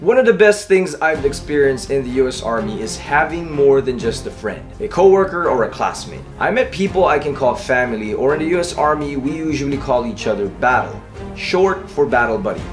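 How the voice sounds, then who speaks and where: A man's voice: medium pitch, big pitch swings, fairly quick. Earnest, encouraging male soldier narrator addressing viewers, voice-over laid over prominent music. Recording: voice-over over loud background music.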